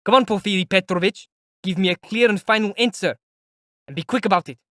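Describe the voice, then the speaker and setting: A man voicing a proud, feverish young male student who shouts in strained, defiant fury. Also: high voice, a little fast, no echo, studio quality, pitched above the performer's own voice. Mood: insistent. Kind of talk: audiobook character voice.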